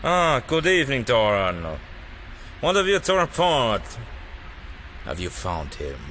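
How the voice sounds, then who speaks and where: A man playing a bright-voiced, ruthless dictator. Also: medium pitch, huge pitch swings, slow pace, a little hiss or hum, exaggerated voice. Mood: cold menace. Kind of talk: audio drama acting.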